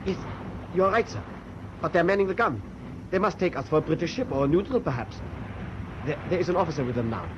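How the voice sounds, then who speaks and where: A man's voice: fairly high pitch, expressive, fast talker, pauses often, natural voice. An actor playing a dutiful German first officer reporting to his captain in a radio drama. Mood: urgent, excited. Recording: muffled, clearly noisy radio drama recording.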